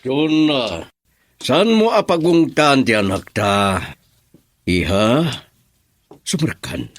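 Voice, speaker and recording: A man playing a calm, protective middle-aged father and businessman in an Ilocano radio drama. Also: medium pitch, slow pace, dry recording. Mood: protesting.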